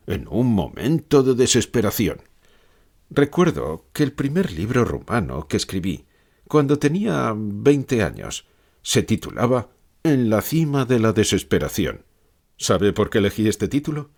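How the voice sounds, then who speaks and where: A man acts out a brooding, lucid pessimist thinker for an audiobook. His voice is low and husky, rising and falling widely as he declaims his anguish in theatrical, rhetorical phrases. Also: darkish tone, exaggerated voice. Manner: dramatic, declamatory, rhetorical repeated questions. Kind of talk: acted fictional interview, audiobook.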